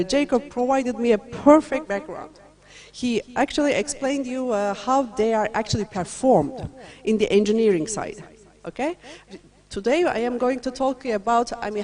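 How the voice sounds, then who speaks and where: A woman from Turkey giving a university lecture, talking in a friendly way with many fillers and pauses. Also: fairly high pitch, dark tone.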